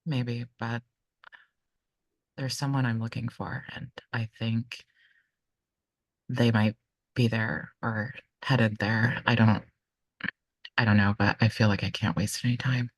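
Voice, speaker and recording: A woman playing a guarded, quietly determined female traveller in a tabletop game, speaking in an understated, hesitant way. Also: extremely low pitch, steady tone, slow, long pauses, heavily acted. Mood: serious.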